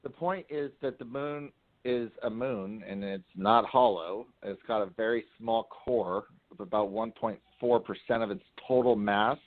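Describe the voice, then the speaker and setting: A man prank-calling a radio show as an earnest, pedantic, rambling lunar expert, with a deadpan delivery. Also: fairly low pitch, natural voice. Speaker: prank caller. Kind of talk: phone call to a radio show. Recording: narrow tinny phone line.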